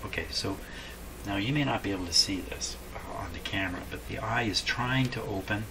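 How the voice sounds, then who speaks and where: A man hosting a hands-on repair demonstration, thinking aloud casually in an unhurried, musing way with dry humor. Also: on the low side, background noise. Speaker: host of a radio repair video. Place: indoor home repair workshop.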